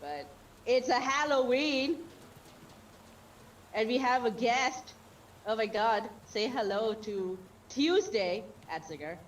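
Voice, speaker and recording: A woman's voice: a little high, slow, a little hiss or hum, rough recording. A woman, hosting a casual livestream chat and laughing often. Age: 30s. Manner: chatty, lively, laughs often. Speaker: stream host.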